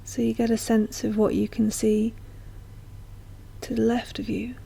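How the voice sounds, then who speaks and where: A woman guiding a meditation in a soft, breathy, often near-whispered voice, speaking gently and slowly with long, soothing pauses. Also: on the high side, little pitch movement, low-quality recording. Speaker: guided meditation teacher. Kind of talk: slow guided meditation narration.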